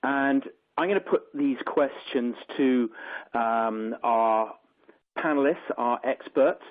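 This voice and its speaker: Man moderating over a tinny phone line. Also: mid-range voice. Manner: measured, structured hand-offs to panelists. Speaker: panel moderator. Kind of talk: webinar panel moderation.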